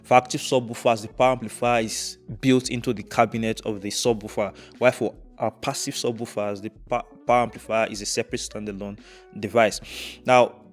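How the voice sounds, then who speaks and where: A young man presenting an instructional tutorial on audio gear in a measured, methodical way. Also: on the low side, fairly even intonation, soft background music. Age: young adult. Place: indoors, dark studio room.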